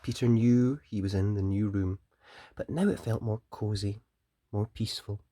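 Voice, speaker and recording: A children's story reader and host, narrating a picture book in a gentle, deliberate and expressive way. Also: mid-range voice.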